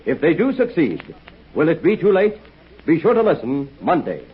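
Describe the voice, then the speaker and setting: A man announcing a 1940s radio serial, lively and salesmanlike, on a dull old transcription. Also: a little high, moderate pace, husky.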